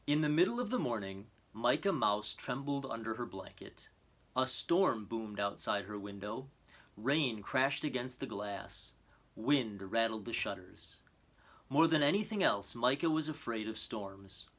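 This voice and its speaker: A man's voice: medium pitch, animated, a little slow, a bit bright. Earnest man reading for children. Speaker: storybook reader. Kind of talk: expressive children's story narration and introduction.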